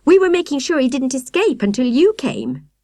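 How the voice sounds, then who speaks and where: An outspoken young British girl in a children's story, played by a woman reader. She protests excitedly and stubbornly in a high, swooping, insistent voice. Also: normal speed, no echo, clean studio sound, heavily acted. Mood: indignant. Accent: British English.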